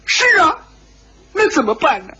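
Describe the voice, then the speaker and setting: A man voicing a naive, earnest young hero in a dramatised wuxia story, pleading in an anxious, tearful, high-strung way. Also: big pitch swings, unhurried, pushed and bright, raised voice, dull audio, exaggerated voice. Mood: distraught.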